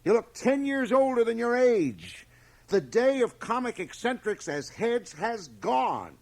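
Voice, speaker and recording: An actor playing a blustering board chairman of about fifty in a radio drama, with an emphatic, sometimes halting delivery. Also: very high-pitched, sing-song, creaky, heavily acted. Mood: scathing outburst. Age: middle-aged. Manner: emphatic, hesitant then blustering. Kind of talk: 1980 radio drama acting. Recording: home cassette transfer of radio broadcast.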